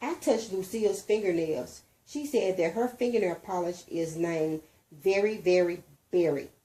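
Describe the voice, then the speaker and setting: Female storybook reader, unhurried and expressive, with a soft mellow tone. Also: fairly low pitch, poor audio. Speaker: reader, mother. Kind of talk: expressive storybook reading aloud.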